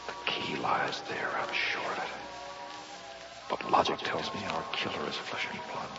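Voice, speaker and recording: An actor playing a watchful investigator in an old radio drama, speaking in clipped, dramatic phrases. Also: male voice, a bass voice, fairly even intonation, normal speed, gravelly, over loud music. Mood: weary, musing. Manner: clipped musing monologue, dramatic. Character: weary, watchful male investigator guarding a museum at night. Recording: muffled, echoey vintage radio recording.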